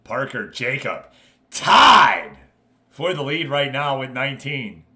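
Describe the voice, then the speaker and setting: A man's voice: mid-range voice, big pitch swings, slow pace, pushed and bright. A man hosting an online football management game league, chatting casually and informally with his viewers as he commentates.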